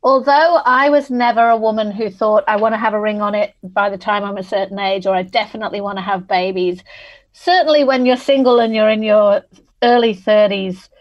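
A woman's voice: medium pitch, steady tone, brisk, dull audio. A woman in her forties from England with a Manchester accent, talking candidly and reflectively as an interview guest. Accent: Mancunian English. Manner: candid, reflective, laughs easily. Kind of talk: conversational interview.

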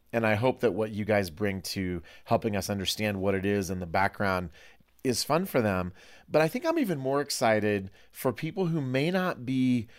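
A man hosting a studio discussion podcast in a playful, effusive and emphatic way. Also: lowish voice. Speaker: podcast host.

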